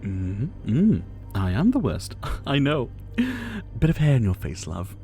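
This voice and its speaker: A man's voice: lowish voice, sing-song, measured pace, warm tone, soft background music. A man playing a possessive, flirtatious and condescending rich dictator in an intimate ASMR roleplay, doting on the listener with pet names. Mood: playful, teasing. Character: possessive, teasing male yandere dictator, rich and menacing.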